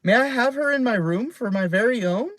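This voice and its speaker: A man voicing an eager, excitable little girl in a storybook. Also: a little fast, no echo. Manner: high, breathless and eager.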